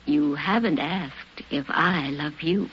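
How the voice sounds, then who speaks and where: An actress playing a scheming young woman in a radio drama, with a hushed, theatrically tender delivery. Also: deep voice, takes it slowly, husky, exaggerated voice. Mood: coolly mocking. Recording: muffled, hissy old radio drama recording.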